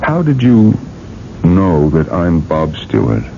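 Pushy rich boss in old radio drama, low voice very animated, impatient, muffled hissy sound. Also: male voice, slow pace, creaky, full character voice. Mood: skeptical, insistent. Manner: blunt, demanding, impatient. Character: domineering, skeptical wealthy male industrialist. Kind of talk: 1980s radio drama acting.

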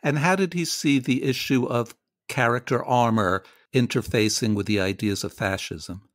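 A man's voice: mid-range voice, moderate pace, no echo, clean studio sound. A man interviewing on radio in a conversational way, summarizing ideas and putting questions.

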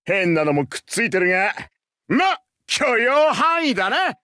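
A man's voice: sits high, sing-song, unhurried, sharp tone, no room sound, clean studio sound, full character voice. A man voicing a cheerful, hot-blooded masked wrestler hero in a mobile game, with brash, slangy delivery. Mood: excited, triumphant. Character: boisterous, cheerful tiger-masked wrestler hero in Santa outfit. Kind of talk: anime game character voice acting.

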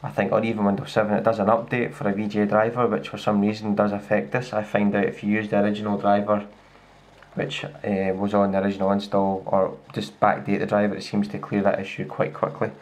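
A man with a Scottish accent gives a casual, hands-on demo of a laptop, talking in a relaxed way with lots of fillers and restarts, recorded on a handheld camera indoors. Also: fairly low pitch, fast talker. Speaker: tech reviewer. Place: indoors at a wooden table. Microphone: handheld camera filming a laptop screen.

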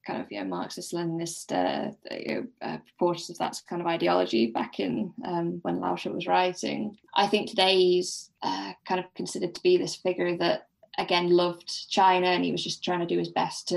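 Creaky-voiced woman as podcast guest. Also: on the low side, flat delivery, normal speed, hardly any pauses. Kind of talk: casual literary discussion.

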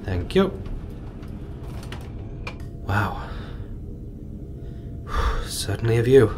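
A deep-voiced male astronaut in charge of a mission , played by an actor. He is reflective and gentle, quick to apologise, with hesitant musing delivery. It is a naturalistic sci-fi audio drama over a clearly audible rumble. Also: lively intonation. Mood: relaxed, curious. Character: thoughtful, apologetic male space mission leader, a doctor. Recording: clear low rumbling noise.

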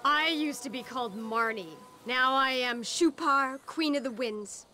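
An actress playing a zealous, spacey cult recruiter in a video game cutscene, preachy, condescending and grandiose. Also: female voice, high-pitched, unhurried, sharp tone. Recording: music under the voice.

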